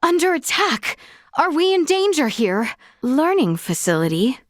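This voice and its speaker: Formal, high-voiced young noblewoman. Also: big pitch swings, a little slow, no room sound, studio quality. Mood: urgent, defiant, then calm, curious. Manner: formal, old-fashioned courtly phrasing, precise. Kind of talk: video game character dialogue.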